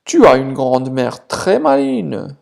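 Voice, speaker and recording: A storyteller voicing a smooth-talking, hungry big bad wolf with a slow, sly manner. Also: mid-range voice, sing-song, darkish tone, heavily acted. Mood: sly, flattering.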